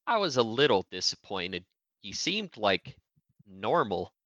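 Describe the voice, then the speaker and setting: A man's voice: fairly low pitch, big pitch swings, a little slow, sharp tone, no echo, dull audio. A man bantering casually as a radio sidekick, blunt and dry in manner.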